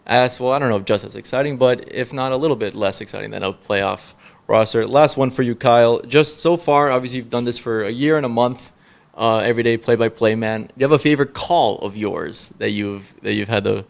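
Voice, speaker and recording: Fast-talking, creaky-voiced male podcast host. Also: medium pitch. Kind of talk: casual sports interview.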